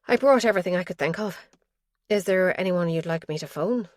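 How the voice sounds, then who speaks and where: A woman narrator voicing a kind, practical female detective who talks in a brisk, helpful way. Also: on the low side, darkish tone, dry recording, studio quality, lightly acted.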